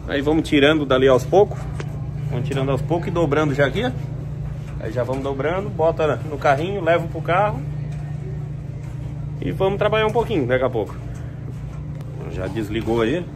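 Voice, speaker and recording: A man's voice: a little high, lively intonation, moderate pace, pauses often. Brazilian man vlogging casually over background noise. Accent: Brazilian Portuguese. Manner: informal, colloquial, fond of diminutives. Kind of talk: casual vlog chat, narrating chores to viewers. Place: inside a self-service laundromat. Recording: noisy laundromat ambience.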